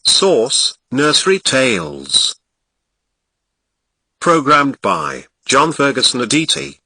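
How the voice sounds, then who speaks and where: A man's voice: medium pitch, very animated, slow, pushed and bright, breathy edge, no room sound. A man with a Ghanaian accent reading a nursery fairy tale aloud, lingering expressively on descriptive words. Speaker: narrator. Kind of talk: storybook reading.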